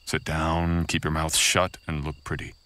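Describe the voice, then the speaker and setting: A man's voice: rumbling low, completely flat delivery, moderate pace, sharp tone. A young man playing a dominant, menacing samurai ronin in a roleplay audio, speaking coldly and mockingly, sometimes in a whisper. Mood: taunting. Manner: cold, threatening, mocking, partly whispered. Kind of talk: boyfriend ASMR roleplay.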